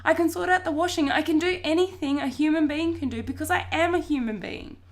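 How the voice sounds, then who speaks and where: A woman plays an indignant young boy from a children's story. The voice jumps high and loud. He protests frantically, with heavy emphasis on what he says. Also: very animated, moderate pace. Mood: eager, insistent.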